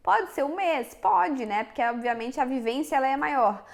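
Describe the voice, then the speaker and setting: Chatty high-voiced Brazilian woman vlogger. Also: animated, normal speed, creaky. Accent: Minas Gerais Portuguese. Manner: chatty, frank, addresses viewers directly. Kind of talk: casual chat to camera.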